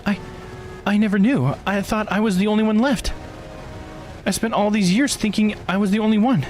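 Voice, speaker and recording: A man's voice: high-pitched, steady tone, normal speed, a little mellow, faint music behind, pitched above the performer's own voice, close to an everyday voice. A man voicing an earnest, stunned immortal woman of great age in a visual novel game, full of wonder. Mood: stunned sorrow.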